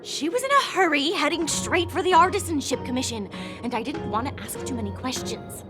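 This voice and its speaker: High lively female voice, suspicious townswoman, pointed questions, loud music behind. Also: brisk. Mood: wary. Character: suspicious young townswoman, wary. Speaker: game character. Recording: game audio over loud music.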